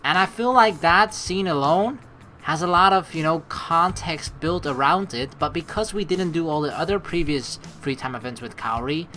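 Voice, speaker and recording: A woman's voice: sits low, moderate pace, a bit bright. A woman hosting a let's play, chatting casually and rambling as she reads game text aloud and reacts to it. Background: game music.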